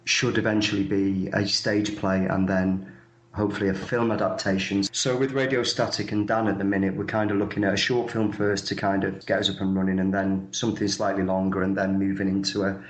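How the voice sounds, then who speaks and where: A man's voice: low-pitched, level tone, fairly quick, echoey room. A man in his thirties chatting casually as an interviewed actor, hesitant and self-effacing, often repeating his words. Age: 30s.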